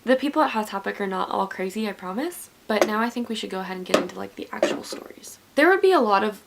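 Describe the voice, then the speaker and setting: A woman's voice: mid-range voice, animated, fast, creaky, very noisy. A woman in her twenties telling a story in a rambling, chatty way while getting ready. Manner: rambling, chatty with many likes. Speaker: vlogger.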